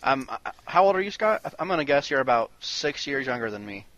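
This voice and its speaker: Bright-voiced man in his thirties, dry sound. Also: medium pitch, big pitch swings, fast talker, dry recording, lo-fi sound. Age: early 30s. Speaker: podcast host. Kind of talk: casual podcast discussion of video games.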